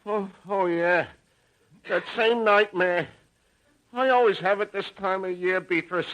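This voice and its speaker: A frazzled department store salesman, high and sharp in voice. He is a fretful, stammering, long-suffering husband in a 1950s radio comedy. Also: a little slow, poor audio. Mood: groggy, shaken. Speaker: comic actor.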